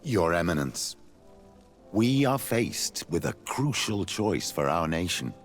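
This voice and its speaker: A grave older priest, speaks very low with a gravelly creak. He is devout and eloquent, delivering measured oratory in fantasy game style, with faint music behind him. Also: big pitch swings, exaggerated voice. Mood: solemn persuasion. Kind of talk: video game drama acting.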